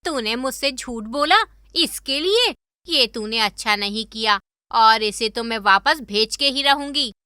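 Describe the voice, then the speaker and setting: A woman voicing a sharp-tongued, indignant middle-aged mother-in-law in an animated moral story. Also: a little high, unhurried, smooth, no echo, studio quality. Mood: furious. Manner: indignant, berating.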